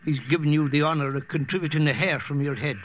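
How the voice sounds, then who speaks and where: Man playing a high-voiced fussy old Irish priest. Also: fast, natural voice. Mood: calm, assured. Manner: blustery, folksy exclamations. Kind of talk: old-time radio acting. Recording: hissy old-time radio recording.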